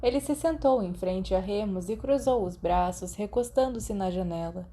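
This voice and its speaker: Brazilian woman reading a story for an audiobook, soft dark relaxed tone, medium pitch. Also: normal speed. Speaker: audiobook narrator. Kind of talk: scripted fiction narration.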